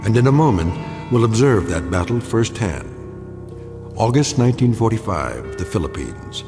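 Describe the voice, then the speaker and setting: An American actor playing a solemn, ominous narrator of a radio drama, with deliberate phrasing. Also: male voice, lowish voice, big pitch swings. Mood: grave, ominous. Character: grave, ominous omniscient storyteller of eerie war tales. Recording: long reverb, music underneath.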